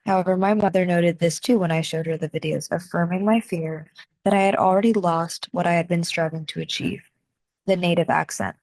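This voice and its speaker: A young woman with an American accent narrates a personal essay in a measured, soft-spoken way. Her voice sits a little low for a woman, and the sound is dry and close, with no room around it. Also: fairly even intonation, dry recording, rough recording. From United States. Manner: measured, soft-spoken reading with deliberate pauses. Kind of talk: scripted reflective narration.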